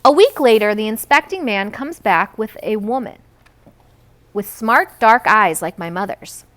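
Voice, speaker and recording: A woman reading a children's book aloud in an expressive storytelling style. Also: medium pitch, unhurried. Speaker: read-aloud reader.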